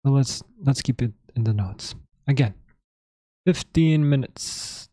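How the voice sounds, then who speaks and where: Male trading streamer, hoarse throat, dark mellow tone, dry room, muttered live commentary. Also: mid-range voice, dry recording. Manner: thinking aloud, halting, self-correcting. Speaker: trading livestream host.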